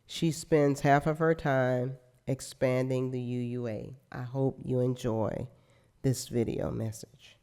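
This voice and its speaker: A woman who serves as worship leader, reading aloud at a church service and introducing a speaker, measured and with a light wry aside. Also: extremely low pitch, steady tone, warm tone.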